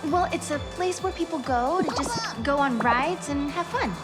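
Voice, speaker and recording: Earnest young heroine, high-pitched voice. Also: female voice, lively intonation, normal speed, loud music behind the voice. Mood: thoughtful. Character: earnest gentle young heroine, caring and thoughtful. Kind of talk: video game cutscene acting.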